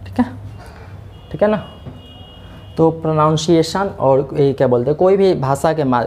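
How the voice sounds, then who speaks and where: A man's voice: medium pitch, a little mellow, a little hiss or hum. Male teacher explaining patiently. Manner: explaining step by step, keeps saying "theek hai"; asks questions and answers them himself. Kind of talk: classroom lecture.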